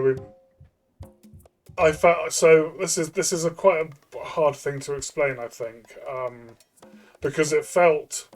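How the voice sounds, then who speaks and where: A man co-hosting a casual game review chat, thinking aloud in a halting way and repeating phrases. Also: mid-range voice.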